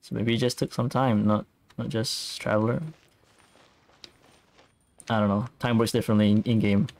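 A man giving casual, musing gameplay commentary as a streamer, in a halting way. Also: on the low side, level tone, a little mellow. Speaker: game streamer.

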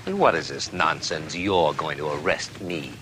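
An actor playing a smug, sarcastic mystery writer accused of murder, mocking and haughty in a theatrical way. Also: male voice, very deep voice, normal speed. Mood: scornful, sarcastic. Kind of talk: dramatic dialogue acting. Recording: noticeable background noise.

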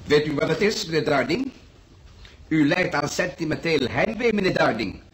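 An actor playing a pedantic hospital patient in a radio play, lecturing and needling with sarcasm. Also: male voice, fairly high pitch, expressive, moderate pace, lightly acted. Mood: mocking. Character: scornful, pedantic long-term male hospital patient, needling others. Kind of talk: radio drama acting.

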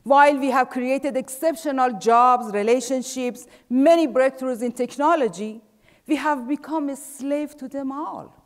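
A middle-aged woman from Iran with a Persian accent, giving a prepared talk on a theater stage in a measured way, her voice fading softly at the end of each phrase. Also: high voice. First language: Farsi. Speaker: speaker. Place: large dark theater stage. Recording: theater with long reverb.

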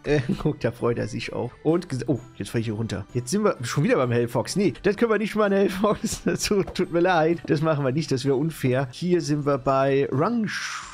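A man's voice: on the high side, expressive, normal speed, hardly any pauses, husky, faint music behind. A man voicing a cheery old German grandpa persona while playing a video game; he is a bit ill and often trips over his words. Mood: chatty. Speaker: YouTuber playing Minecraft. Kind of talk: casual let's-play commentary.

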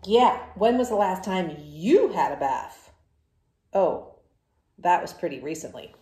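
Woman voicing a stubborn, dramatic cartoon pigeon, swooping pitch. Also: very animated, measured pace, mellow, roomy sound. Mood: indignant. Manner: emphatic, exclaiming, protesting. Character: dirty, stubborn, dramatic cartoon pigeon who argues like a child.

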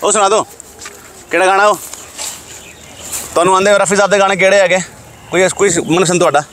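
A young man with a Punjabi accent, vlogging outdoors by a lake, cheering and teasing a stranger in excited banter. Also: sits high, brisk. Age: young adult. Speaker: vlogger prankster. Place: outdoors on a lakeside promenade. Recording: faint outdoor noise.

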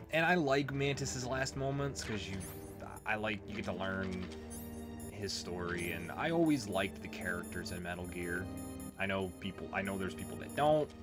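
A man gives casual let's play commentary in a low voice. He talks informally and rambles a little. Music plays clearly in the background. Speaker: gaming video host.

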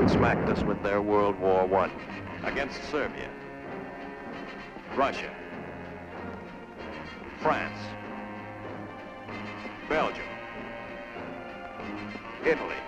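A man with an American accent narrating a 1940s military propaganda film in a stern, clipped, emphatic way with dramatic pauses. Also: lowish voice, lively intonation. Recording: muffled 1940s film soundtrack with music.

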